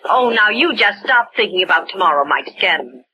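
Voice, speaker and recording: An actress in an old-time radio drama playing a fond, gently insistent sweetheart who reassures and coaxes her man. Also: mid-range voice, lively intonation, a little fast, muffled recording, natural voice. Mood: reassuring. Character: reassuring, coaxing wife or sweetheart of an anxious umpire. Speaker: radio actor. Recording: noisy old radio recording.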